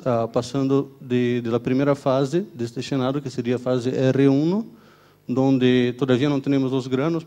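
A man's voice: medium pitch, dark tone. A young man with a Brazilian Portuguese accent, a native Portuguese speaker, giving a conference lecture in a hall into a handheld mic, hesitant with many fillers and restarts, often checking in with the audience. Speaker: university researcher giving a conference talk. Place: stage of a dark conference hall. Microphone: handheld microphone.

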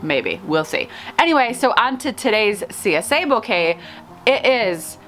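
Expressive, gushing woman chatting on a porch. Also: medium pitch, unhurried, faint music behind. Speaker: flower farmer and vlog host. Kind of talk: casual chatty vlog to camera. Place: outdoors on a covered house porch.

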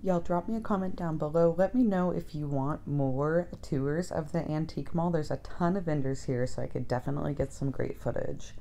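Low-pitched, mellow chatty woman vlogger. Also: moderate pace, few pauses. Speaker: vlog host. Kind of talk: casual shopping vlog narration. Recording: soft background music. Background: music.

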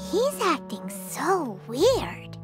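A woman voicing a tiny, blunt and curious childlike fairy girl in a video game, with a squeaky voice. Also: wildly swooping pitch, very slow, exaggerated voice. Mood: puzzled. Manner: blunt, refers to self in third person. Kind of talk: video game character acting. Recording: loud game music behind.

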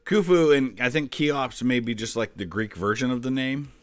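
A man's voice: medium pitch, moderate pace, clear, resonant tone, dry recording, soft background music. A man in his forties giving casual, conversational reaction commentary, thinking aloud. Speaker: history YouTuber reacting to a quiz.

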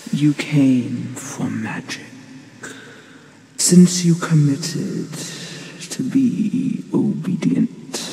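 A performer voicing a gentle, ancient dragon teacher in a hushed, breathy, deliberate ASMR fantasy roleplay, calm and solemn with long pauses. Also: mid-range voice, a little hiss or hum, poor audio. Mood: calm, commanding.

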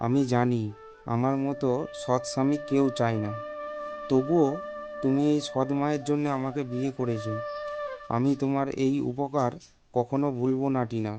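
A man's voice: medium pitch, fairly even intonation, normal speed, music in the background, lightly acted. A man voicing a remorseful, kindly young husband in a cartoon, sincere and reassuring. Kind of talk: cartoon moral-story voice acting.

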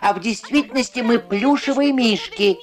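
A man voicing a small, excitable, pleading plush teddy bear in a dubbed puppet cartoon. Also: extremely high pitch, moderate pace. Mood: explaining. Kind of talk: dubbed puppet animation dialogue. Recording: old film soundtrack with loud music.

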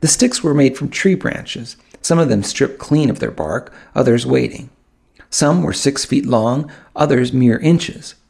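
A man narrating a first-person audiobook in an expressive storytelling manner, with dramatic pauses. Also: medium pitch, gravelly, husky. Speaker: author narrating his own audiobook.

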